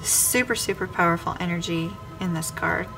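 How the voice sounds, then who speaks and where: A woman's voice: fairly low pitch, normal speed, poor audio. A woman giving a weekly tarot reading, speaking straight to the viewer by star sign and circling back over her phrases. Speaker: tarot reader. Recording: clear background music under voice. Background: music playing under the voice.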